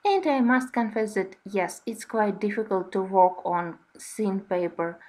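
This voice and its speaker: A woman, a native Russian speaker, narrating a tutorial voice-over in careful, slightly halting non-native English. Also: mid-range voice, darkish tone. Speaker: host of a coloring tutorial channel.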